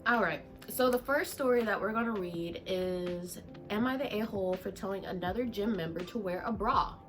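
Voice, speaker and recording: A woman's voice: mid-range voice, normal speed, over loud music. A young Southern woman with a stuffed-up, congested voice, chatting casually to her viewers with lots of ums and y'alls. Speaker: YouTuber reading Reddit stories. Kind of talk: casual vlog chat. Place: home room, indoors.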